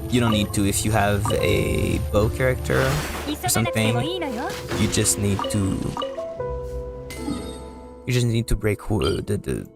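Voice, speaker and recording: A man giving casual, halting and rambling live commentary as a video game streamer, often repeating words. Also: lowish voice, gravelly, loud music behind the voice.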